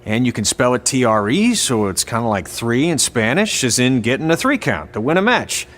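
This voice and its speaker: An actor playing a sarcastic, blunt veteran wrestling trainer in video game dialogue. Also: male voice, mid-range voice, sing-song, normal speed, fairly bright tone. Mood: mocking.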